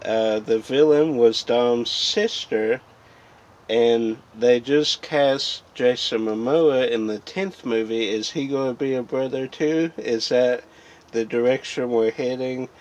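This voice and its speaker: A man's voice: mid-range voice, slow, darkish tone. A man hosting a casual, unscripted collecting vlog, rambling and thinking aloud as he goes. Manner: rambling, thinking aloud as he looks over items. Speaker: host of a video channel about film collecting. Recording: dull lo-fi sound with background noise.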